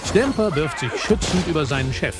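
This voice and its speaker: Guy narrating an action story, scripted and dramatic, lively pitch, big loud soundtrack behind him. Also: brisk. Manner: dramatic, present-tense storytelling. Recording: loud film music and vehicles behind. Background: loud music, vehicle sounds.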